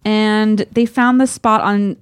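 A woman co-hosting a podcast, chatting casually and reading aloud from a diary in an expressive, playful way. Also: mid-range voice, takes it slowly, smooth, no room sound, faint music behind. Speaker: podcast co-host.

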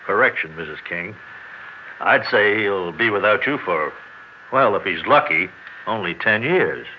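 An actor playing a dry, matter-of-fact American police lieutenant in a 1960s radio drama. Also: male voice, lowish voice, animated, a little slow. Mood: dry, official. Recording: dull, hissy 1960s radio recording.

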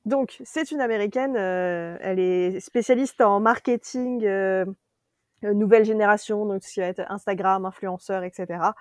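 A French woman co-hosting a casual podcast, chatty and animated, laughing often as she reviews a series. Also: medium pitch, moderate pace, no echo, studio quality. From France.

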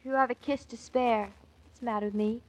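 A woman's voice: fairly high pitch, fairly even intonation, lightly acted, low-quality recording. An actress playing a playful, fussy young wife and new mother in a 1940s radio drama, teasing as she scolds. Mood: playful scolding. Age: young adult. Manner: teasing, fussy, quick to banter.

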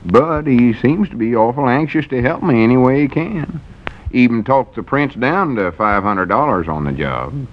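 A man's voice: medium pitch, lively intonation, moderate pace, slight background noise, lightly acted. An actor playing a gullible, earnest small-town man in an old radio comedy, with a drawling rural Southern American accent and rustic speech. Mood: earnest, reassuring. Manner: drawling, folksy, rustic dialect. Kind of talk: 1940s radio comedy acting. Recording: dull, lo-fi old radio broadcast.

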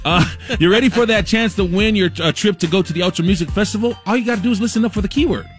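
A man's voice: high voice, fast, soft background music. A man hosting a morning radio show, bantering playfully and teasing his cousin. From Samoan heritage.

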